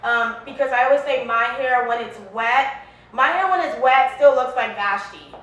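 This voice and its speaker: Woman from Liberia, chatty founder, high voice, far-off echoey room. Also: normal speed, a little hiss or hum. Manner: chatty, animated, with restarts and quoted asides. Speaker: brand founder. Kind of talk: casual interview chat.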